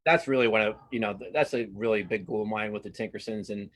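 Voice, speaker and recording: A man's voice: lowish voice, fast, fairly bright tone. A man speaking casually as an interview guest, a cartoonist and writer who often repeats words and restarts his phrases.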